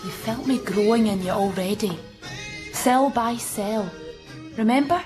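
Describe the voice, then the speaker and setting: A woman playing an insistent, intimate ghostly daughter in a radio drama, speaking in a hushed, coaxing way. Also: fairly high pitch, measured pace, natural voice. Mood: eerie, insistent. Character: ghostly grown daughter, intimate and insistent, haunting her mother. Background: music.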